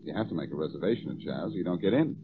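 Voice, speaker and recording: Suave radio detective, muffled recording. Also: male voice, low voice, expressive, racing, music in the background. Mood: teasing, confident. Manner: flippant, smooth-talking, teasing. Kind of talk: 1940s radio detective drama acting.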